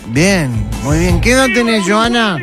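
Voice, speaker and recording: Conductor uruguayo bromista, con música de fondo. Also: male voice, high voice, animated, a little slow, music in the background. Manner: teasing, exclamatory. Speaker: radio host interviewing a caller. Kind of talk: playful comedic phone interview.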